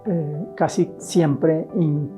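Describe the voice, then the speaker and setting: A woman's voice: low voice, fairly even intonation, drawn-out words, over music. A woman explaining ideas in a considered documentary interview style.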